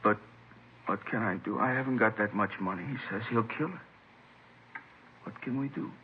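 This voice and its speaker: A man's voice: lowish voice, moderate pace, lightly acted. Male actor as frightened, hesitant professor, vintage radio melodrama, narrow crackly sound. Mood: distraught. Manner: hesitant, stammering, anxious. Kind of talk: 1950s radio drama acting. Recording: noisy, tinny vintage radio drama.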